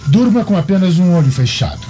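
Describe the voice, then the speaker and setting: Suspicious imperious roman governor, radio drama, expressive, bit of music behind. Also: male voice, high-pitched, fast. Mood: commanding. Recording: muffled lo-fi audio with music.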